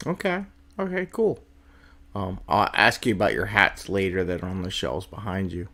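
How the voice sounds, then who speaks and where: Male host, lowish voice, friendly and prompting, loosely phrased questions. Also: animated, normal speed, pushed and bright. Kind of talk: casual interview chat.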